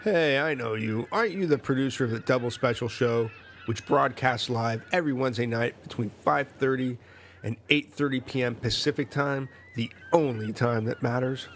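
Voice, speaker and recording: A man's voice: mid-range voice, normal speed, fairly bright tone, slight background noise, lightly acted. A man voicing Kevin, a smarmy islander who rattles on.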